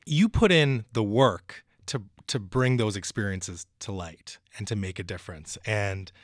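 A man hosting an interview, speaking casually and warmly affirming his guest, with frequent fillers. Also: fairly low pitch, a little slow, dry recording, studio quality. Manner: friendly, with many fillers and affirmations. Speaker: podcast host.

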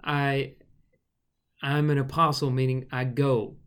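A man's voice: medium pitch, level tone, fairly bright tone, smooth. Reedy-voiced man teaching scripture. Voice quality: thin, reedy voice. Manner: thoughtful, explanatory, pauses with fillers. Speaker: podcast Bible teacher. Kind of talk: casual teaching conversation.

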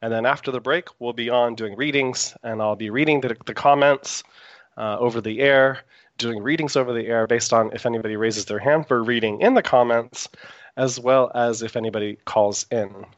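A man's voice: medium pitch, steady tone, normal speed, dry recording, dull audio. A man hosting a live internet radio talk show, chatty and meandering with frequent fillers, speaking through a sore throat.

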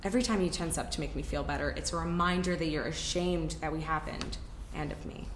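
A woman's voice: sits low, a little fast, a little hiss or hum, close to an everyday voice. Actress as wounded young woman in echoey room. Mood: hurt, resigned. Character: wounded, frank twenty-four-year-old woman ending a romance. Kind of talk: live stage reading of a short play. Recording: echoey room at live reading.

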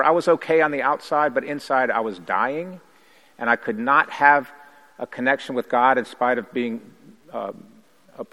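A man's voice: mid-range voice, fairly bright tone. Earnest man speaking in a reverberant hall. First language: Haitian Creole. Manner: earnest, reflective, measured. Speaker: priest and pastor. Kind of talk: personal recovery testimony.

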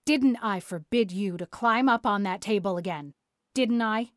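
A woman's voice: medium pitch, dry recording, studio quality, close to an everyday voice. A woman voicing a stern, practical older woman, a strict guardian who speaks firmly and matter-of-factly in a storybook reading. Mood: stern, scolding. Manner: firm, brisk scolding, matter-of-fact.

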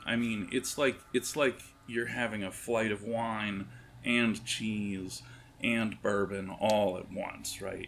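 A man improvising tabletop game narration as game master, in a halting, wordy, descriptive manner. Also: fairly low pitch, creaky, a bit bright.

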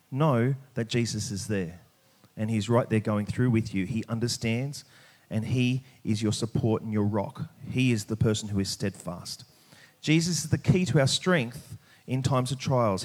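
A man preaching a sermon, speaking emphatically and pausing deliberately on key words. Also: mid-range voice, darkish tone. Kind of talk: sermon with scripture reading.

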